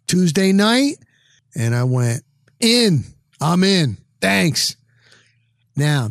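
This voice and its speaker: A man's voice: a little high, no room sound, studio quality. A middle-aged American man from New Jersey hosting a podcast, telling casual anecdotes in short punchy phrases and acting out bits of dialogue. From New Jersey, United States. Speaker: podcast host.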